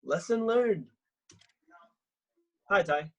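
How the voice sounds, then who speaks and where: A man's voice: sits high, animated, extremely slow, a little mellow, heavily acted. A man playing a brash, drunken young party guy in a script read-through. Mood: sheepish.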